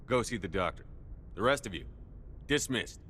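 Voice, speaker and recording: An actor playing a military starship commander in a game, firm and steady, with clipped authority. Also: male voice, fairly low pitch, normal speed, slight background noise. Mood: commanding.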